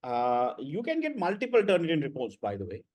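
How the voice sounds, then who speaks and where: A man's voice: on the high side, wildly swooping pitch, fairly quick, darkish tone. A man lecturing students in an online class, blunt and repetitive, often checking with "right?".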